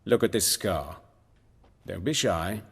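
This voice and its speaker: An actor playing an erudite, steely surgeon in a video game, speaking formally and precisely in a husky low voice. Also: male voice, takes it slowly, in a large hall, exaggerated voice. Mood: assertive, cool. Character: composed, erudite male surgeon with steely resolve.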